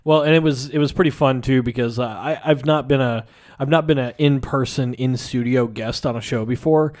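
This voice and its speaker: A man with a slightly raspy voice hosting a podcast in a casual, joking way. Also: medium pitch, fairly even intonation, fairly quick, darkish tone. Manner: joking, teasing. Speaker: podcast host.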